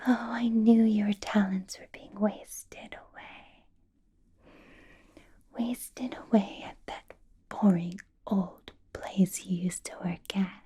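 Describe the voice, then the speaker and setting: A woman playing a possessive, seductive vampire boss in an ASMR roleplay, politely menacing in a soft whisper with deliberate, coaxing phrasing. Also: mid-range voice, slightly rough. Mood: coaxing, doting. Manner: slow, deliberate, coaxing, with lingering pauses. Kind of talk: ASMR roleplay, personal attention.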